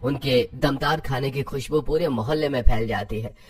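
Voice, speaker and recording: A man's voice: medium pitch, normal speed, slightly rough, recorded from a distance. A man reading scripted story narration as the narrator.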